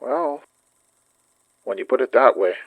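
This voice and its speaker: An actor playing a troubled, worried man trying to keep his cool in an audio drama, with a gravelly voice. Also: mid-range voice, a little slow, narrow, tinny audio, lightly acted. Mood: weary, concerned.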